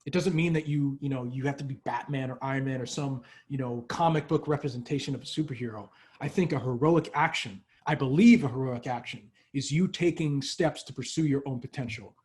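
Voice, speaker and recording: Man, earnest workshop speaker, casual, many fillers, middle pitch. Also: a little fast, hardly any pauses, a little mellow. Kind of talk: motivational talk.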